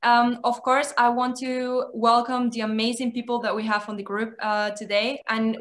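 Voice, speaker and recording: A young woman from Spain, moderating an online panel over a video call, quick and upbeat. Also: on the high side, fairly even intonation, fairly bright tone. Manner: quick, upbeat, laughs often. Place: small room indoors. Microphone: laptop webcam on a video call.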